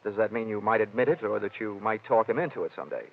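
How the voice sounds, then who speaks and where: An American actor playing a wry, tough insurance investigator in a 1940s radio detective drama, with a clipped, sardonic delivery. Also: male voice, fairly low pitch, lively intonation, fast talker, slight background noise. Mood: wry probing. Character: wry, tough male freelance insurance investigator, hard-boiled. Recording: dull vintage 1940s radio recording.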